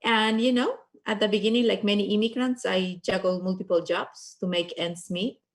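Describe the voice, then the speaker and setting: A middle-aged woman with a Peruvian Spanish accent, introducing herself in earnest, measured terms as a panelist. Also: medium pitch, steady tone. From Peru. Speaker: state legislator, panelist.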